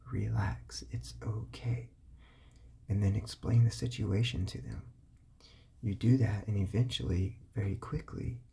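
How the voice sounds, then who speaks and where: Male parent, hushed breathy delivery, low gravelly fry, calm and repetitive. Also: a little hiss or hum. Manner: calm, patient, repeats his points. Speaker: parent giving parenting advice. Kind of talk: whispered advice talk.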